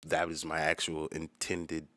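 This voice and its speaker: Deep-voiced man casually breaking down his song. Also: monotone, a little slow, sharp tone, no echo, clean studio sound. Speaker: musician and host of a commentary channel. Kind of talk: casual, profane commentary on own song.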